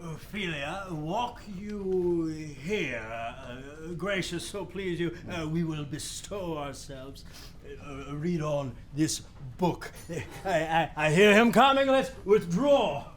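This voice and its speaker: A man's voice: fairly high pitch, expressive, slow, a little hiss or hum. A man playing a fussy, dithering old courtier in a Shakespearean radio play, hesitant and stammering. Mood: flustered, fussy.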